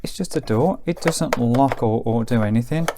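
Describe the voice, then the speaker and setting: A British man giving a casual, hands-on demonstration as a radio reviewer, explaining as he works in an informal, low-key way. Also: moderate pace, background noise.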